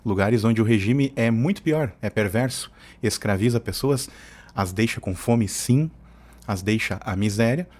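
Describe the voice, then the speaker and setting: A man's voice: on the low side, unhurried, a little mellow. A man from hosts an informal commentary. He is opinionated and colloquial, wandering off into asides as he argues his points. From southern Brazil. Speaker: host of an opinion channel.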